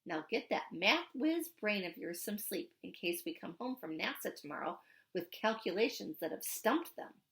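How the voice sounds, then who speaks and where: A woman voicing a gentle, affectionate father in a storybook, tender and softly teasing, with an American accent. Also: mid-range voice, expressive, normal speed, vocal fry. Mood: reassuring. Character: gentle, affectionate, playful father of a young girl.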